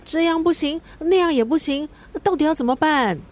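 An actress playing a blunt, bossy, complaining drinking buddy in a radio drama, with a Singaporean accent. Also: female voice, high voice, moderate pace, close to an everyday voice. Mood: exasperated. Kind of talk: Mandarin radio drama acting.

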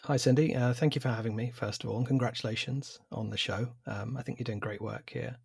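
A man in his forties with an English accent, a publisher answering interview questions in a measured, thoughtful way with some fillers. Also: medium pitch, fairly even intonation, vocal fry, darkish tone, breathy edge, no room sound, clean studio sound. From England. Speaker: publisher, guest.

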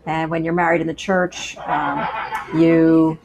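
A woman's voice: low-pitched, flat delivery, slow, muffled recording, a crowd in the background. A woman from Pennsylvania, a guest on a faith show, telling her life story in an earnest, anecdotal way and often restarting her sentences. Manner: earnest, anecdotal, repeats words as she restarts. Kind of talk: personal testimony in conversation.

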